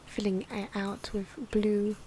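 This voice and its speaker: A soft-spoken woman giving ASMR drawing commentary, often slipping into a breathy whisper, with hesitant, unhurried phrasing. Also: mid-range voice, completely flat delivery. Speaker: ASMR video creator narrating own drawing. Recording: noisy, pencil scratching and ambient sound. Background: pencil and pen noises, outdoor wind.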